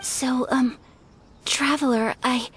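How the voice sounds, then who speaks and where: A woman voicing a gentle, refined young noblewoman in a video game, speaking politely and softly, earnest and shyly hopeful. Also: high-pitched, fairly even intonation, slow, a little hiss or hum. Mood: nervous, shy. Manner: polite, soft-spoken, carefully formal. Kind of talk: video game character voice acting. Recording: game music behind the voice.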